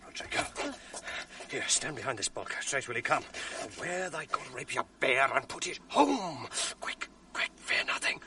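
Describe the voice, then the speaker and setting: An actor playing a treacherous male villain in a radio drama, speaking in a low conspiratorial murmur that often drops to a whisper. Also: very animated, measured pace, recorded from a distance, noisy background. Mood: urging. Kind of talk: 1980s radio drama acting.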